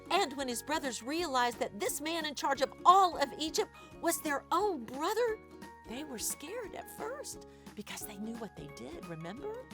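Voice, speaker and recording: Casting: female children's storytime host, high and singsong-animated, reading aloud over clear background music. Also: normal speed. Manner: exaggerated, questioning, addresses child listeners directly. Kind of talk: interactive read-aloud for young children.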